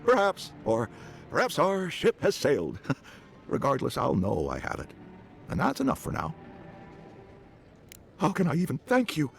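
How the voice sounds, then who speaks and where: A man playing a chatty, sentimental travelling merchant in a video game, with an expressive theatrical manner. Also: on the high side, unhurried, creaky, long pauses, faint music behind, heavily acted. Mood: grateful.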